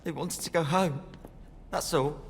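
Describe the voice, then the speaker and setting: A man's voice: on the high side, a little slow. An actor playing a defiant, bitter young male rebel leader in a video game. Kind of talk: dramatic game dialogue. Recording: long reverb, noticeable noise.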